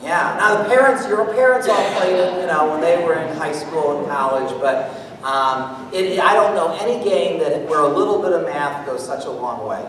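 A middle-aged American man giving a live talk on stage and taking audience questions, animated and jokey as he thinks aloud. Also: on the high side, moderate pace. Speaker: guest speaker, mathematician performer. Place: theatre stage, indoors. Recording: echoey hall from far off, noisy.

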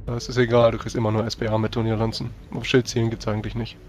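A man's voice: on the low side, flat delivery, quick pace. A man chatting casually as a player in a tabletop roleplaying game, working through rules and dice. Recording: music playing behind the voice.

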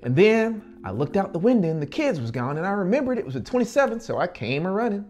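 Storybook housewife, played by a man: folksy, flustered, cheerful, lifted pitch, lively swoops, music underneath. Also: fast. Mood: folksy, breathless cheer. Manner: folksy dropped-word country talk. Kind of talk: dramatized audiobook reading.

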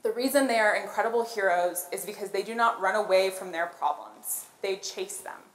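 An American woman speaks on stage with a mid-pitched voice and plenty of room echo. She tells stories in a rehearsed yet conversational way and often puts questions to her listeners. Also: fairly quick. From born in Colombia, grew up in Los Angeles. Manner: conversational, poses questions to the audience. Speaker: conference keynote speaker, product designer. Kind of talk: rehearsed conference talk with storytelling. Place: on stage in a large auditorium. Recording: roomy echo of an auditorium.

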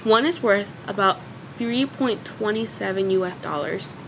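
A teenage girl reading school news and birthday shout-outs in a cheerful, scripted way. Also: medium pitch, moderate pace, a little hiss or hum, rough recording. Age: teens. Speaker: student news anchor. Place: school TV studio, indoors.

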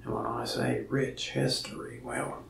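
A young man playing a lonely, friendly mask shop salesman in a soft-spoken ASMR roleplay, talking slowly and often slipping into a whisper. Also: medium pitch, little pitch movement, reverberant, far from the mic, a little hiss or hum. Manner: slow, soft-spoken, gravelly with frequent whispered stretches. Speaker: ASMR creator. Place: small indoor room, mask wall behind.

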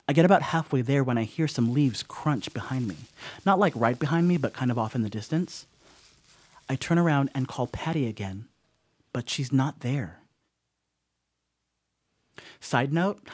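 An actor playing a sheepish young stoner house-sitter in an audio horror monologue, rambling apologetically with casual asides. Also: male voice, mid-range voice, darkish tone, no room sound, close to an everyday voice. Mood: chatty, digressive.

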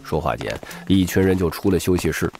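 A man's voice: deep voice, animated, brisk, darkish tone. A man giving dramatic scripted narration of a crime novel as an audiobook narrator. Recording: music bed behind the voice.

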